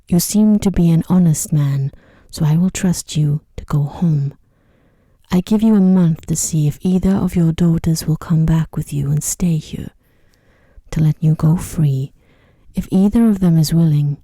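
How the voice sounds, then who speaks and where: Woman softly playing a stern, hushed storybook beast. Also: deep voice, no room sound, clean studio sound. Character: stern, angry male beast of a fairy tale. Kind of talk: bedtime storybook reading.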